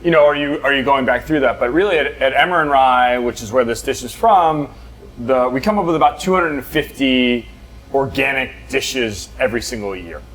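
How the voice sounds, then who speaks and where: A man's voice: medium pitch, fairly quick, rough recording. A man from Tucson, a restaurant owner chatting casually and joking with a co-worker. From Tucson, Arizona. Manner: relaxed, joking, chimes in. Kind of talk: casual chat.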